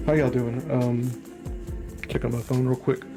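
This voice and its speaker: Flat-toned man rambling through commentary. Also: medium pitch, over music. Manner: rambling, halting delivery with frequent ums and restarts, casual swearing. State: lingering cough and slight congestion. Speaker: commentary channel host. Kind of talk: casual reaction commentary to camera.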